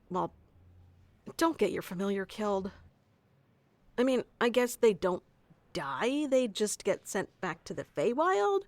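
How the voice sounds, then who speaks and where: A woman's voice: mid-range voice, dry recording. A woman playing a bossy, geeky tsundere wizard teacher who explains things informally and smugly in an ASMR roleplay. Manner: informal, smug, flustered asides, explanatory. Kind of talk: scripted ASMR roleplay audio addressed to the listener.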